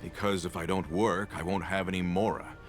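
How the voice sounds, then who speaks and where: Man as worn-out working dad, deep voice, flat resigned talk, light music behind. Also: brisk, natural voice. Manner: plain, resigned. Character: weary working-class man, tired of routine. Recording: game audio with faint music.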